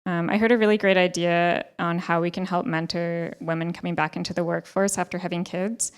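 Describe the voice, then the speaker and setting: Smooth-voiced young woman on a panel. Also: fairly low pitch, level tone. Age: young adult. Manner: measured, earnest advice. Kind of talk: panel discussion talk. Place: hotel ballroom stage. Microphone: handheld mic.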